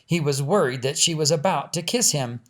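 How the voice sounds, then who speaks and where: A man's voice: on the high side, moderate pace. A man reading a family story aloud as scripted audiobook narration. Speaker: author reading own audiobook.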